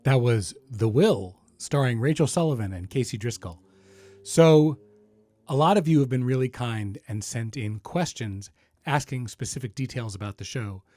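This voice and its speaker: A man's voice: medium pitch, animated, moderate pace, darkish tone. A middle-aged man hosting a podcast, speaking casually and wryly straight to listeners. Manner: conversational, wry, informal. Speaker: podcast host and creator. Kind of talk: casual podcast address, answering listener questions.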